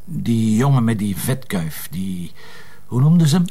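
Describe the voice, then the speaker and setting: An actor playing a gruff, impatient, sarcastic but considerate Flemish police commissioner in a naturalistic TV drama. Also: male voice, lowish voice, very animated, measured pace, dark tone, heavily acted. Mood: patient, weary.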